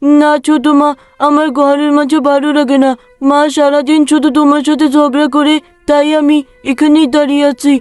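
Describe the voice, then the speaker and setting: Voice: sits high, completely flat delivery, moderate pace, a little mellow, dry recording, soft background music, close to an everyday voice. An actor voicing a sad, self-blaming little village boy in a cartoon story, plaintive and sulky. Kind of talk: melodramatic cartoon story acting.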